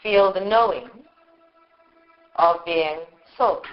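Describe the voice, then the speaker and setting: A woman leading a guided meditation in short, measured phrases with long pauses, on a live recording. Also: fairly low pitch, highs cut off. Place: live group meditation session.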